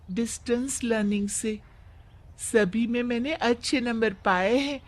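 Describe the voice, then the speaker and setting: A woman playing an earnest, hopeful young village student in a cartoon moral story, speaking politely. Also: on the high side.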